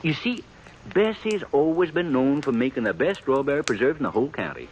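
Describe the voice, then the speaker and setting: A man's voice: mid-range voice, a little fast, lightly acted, low-quality recording. An American man playing a flustered, wheedling small-town undertaker in a 1950s Western radio drama, stammering and rustic as he sweet-talks. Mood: wheedling, persuasive. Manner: stammering, rustic, excitable, persuasive. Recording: 1950s radio recording with faint noise.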